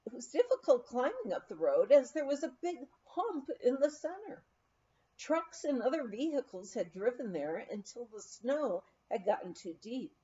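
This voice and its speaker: A woman reads a memoir aloud in an expressive, measured storytelling manner, on a somewhat dull recording. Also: fairly high pitch. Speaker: audiobook reader.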